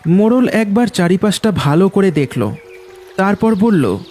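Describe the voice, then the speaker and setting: A man's voice: sits high, lively intonation, unhurried, a little mellow, soft background music. A man narrating a scripted ghost story in a dramatic storytelling style.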